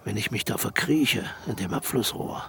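An actor playing a haunted aging dictator in a radio play, brooding and slipping into whispers. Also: male voice, lowish voice, normal speed, pushed and bright, slight background noise. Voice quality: gravelly, creaky voice. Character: aging male dictator, haunted, recalling his own death. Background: music.

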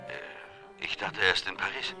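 An actor in a radio drama playing a smooth, scheming, condescending man with a measured, insinuating manner. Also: deep voice, bright, forceful tone, full character voice. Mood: feigned confusion. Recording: music or ambient noise behind.

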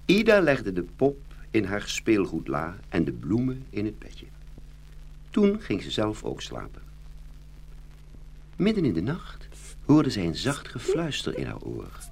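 A man narrating a scripted children's fairy tale in an expressive, dramatic storytelling manner. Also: fairly low pitch, unhurried, creaky, slight background noise.

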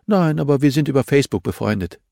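A man's voice: mid-range voice, animated, fast talker, vocal fry, mellow, no room sound, studio quality, pitched above the performer's own voice. A man voicing an eleven-year-old girl in an audiobook, earnest and childlike, speaking in short simple phrases.

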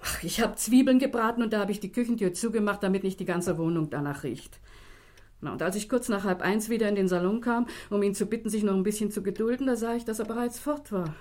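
An actress playing a caring, apologetic middle-aged wife in a German radio drama, explaining things gently. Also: female voice, mid-range voice, quick pace, close to an everyday voice.

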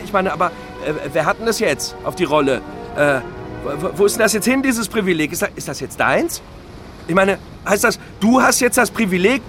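An actor playing an aggrieved middle-aged man in a theatrical monologue, whining and stammering in indignant, self-pitying questions. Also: high-pitched. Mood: indignant, bewildered.

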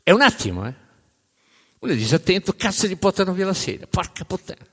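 A man narrating a story on stage in dramatic spoken-word style, emphatic, with deliberate pauses and repeated phrases. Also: sits high, big pitch swings. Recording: reverberant hall live recording.

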